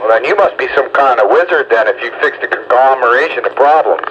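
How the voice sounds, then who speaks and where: A man banters casually over two-way radio with a joking, teasing manner. His medium-pitched voice comes through a transmission thick with loud noise. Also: animated, fast, dull audio. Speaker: amateur radio operator.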